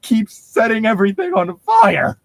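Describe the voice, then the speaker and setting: A man's voice: sits high, huge pitch swings, normal speed, gravelly. A man doing a mocking dramatic reading with sarcastic, profane ad-lib commentary and a giggly manner. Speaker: co-reader riffing on a horror story.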